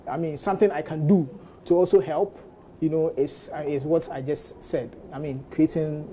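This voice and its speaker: A man with a Ghanaian accent giving halting, spontaneous interview answers as a business guest. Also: on the high side, fairly even intonation, dark tone, slight background noise. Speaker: fashion entrepreneur, studio guest.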